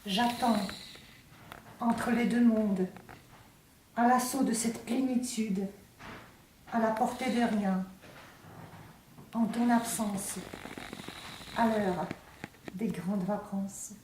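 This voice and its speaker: A woman from Russia reciting her own poem in a deliberate, declamatory way, in short phrases with pauses. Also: on the high side, steady tone, darkish tone. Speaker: poet reading own work. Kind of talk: poetry recitation. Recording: very echoey room, distant mic, faint noise.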